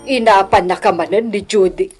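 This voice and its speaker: A woman's voice: medium pitch, normal speed, music in the background, natural voice. A woman acting an older, meddling mother-in-law who scolds and complains in a radio drama. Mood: wounded, plaintive. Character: meddling elderly mother-in-law, reproachful and self-righteous.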